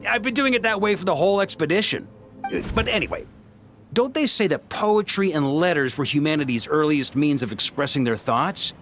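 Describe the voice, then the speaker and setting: Lively easygoing young knight. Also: male voice, fairly high pitch, brisk, a little hiss or hum. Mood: breezy. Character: cheerful young male knight, easygoing improviser. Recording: game audio with music.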